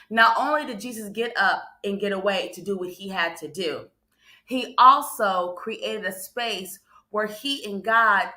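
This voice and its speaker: A bright, forceful female voice delivers motivational preaching in an echoey room. She teaches point by point and leans hard on repeated phrases. Now and then she turns to her listeners with a conversational aside. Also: mid-range voice. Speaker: motivational speaker and preacher on a morning show. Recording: roomy, echoing livestream sound.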